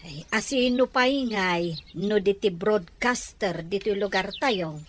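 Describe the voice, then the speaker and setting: A woman's voice: medium pitch, unhurried, a little hiss or hum, lightly acted. A woman playing a gossipy, scolding village aunt in a radio drama, blunt and nagging, with an Ilocano accent.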